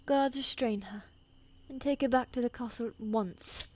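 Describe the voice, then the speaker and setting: Woman acting high-voiced imperious medieval queen. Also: moderate pace, close to an everyday voice. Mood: cold fury. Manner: imperious, threatening, softening into tenderness. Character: fierce commanding young evil queen, tender toward her husband. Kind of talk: medieval fantasy ASMR audio roleplay.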